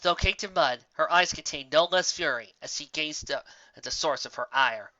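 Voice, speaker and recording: A narrator reading a scripted fan fiction story in an expressive, dramatic way, close to the microphone. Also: medium pitch, normal speed, no room sound. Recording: slightly dull, dry home recording.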